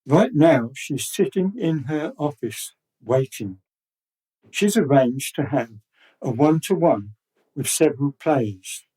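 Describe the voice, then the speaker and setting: A man's voice: on the high side, lively intonation, takes it slowly, mellow, slightly rough, rough recording. A man narrating the scripted introduction to a radio play.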